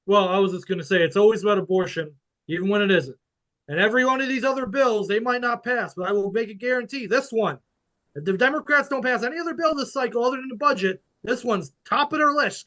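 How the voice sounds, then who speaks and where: A man's voice: extremely high pitch, fast, bright, forceful tone. A man who is a guest on a political panel podcast, giving emphatic, opinionated remarks.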